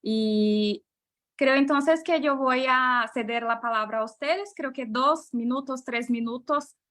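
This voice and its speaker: Female panel moderator, higher pitch, hesitant and self-correcting delivery. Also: unhurried, fairly bright tone.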